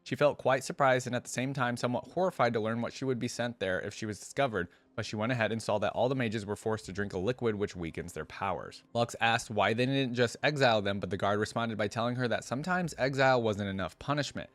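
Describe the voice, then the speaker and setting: A man's voice: medium pitch, quick pace, hardly any pauses, fairly bright tone, dry recording. A man hosting a reaction video, chatting in a laid-back way as he comments and reads aloud. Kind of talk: casual commentary with read-aloud passages.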